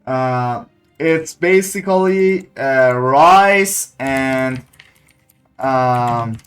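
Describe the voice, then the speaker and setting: Slow-talking game streamer, halting English speaker. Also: medium pitch, smooth, faint music behind. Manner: halting, searching for words. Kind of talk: casual livestream chat over gameplay.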